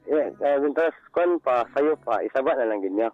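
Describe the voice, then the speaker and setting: A man's voice: mid-range voice, expressive, no echo. A male caller chats on a dull, dry phone line with faint music behind him. His Cebuano Filipino accent comes through as he talks casually, stopping and repeating words. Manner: halting, repeating himself. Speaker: radio show caller. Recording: dull lo-fi phone call on radio.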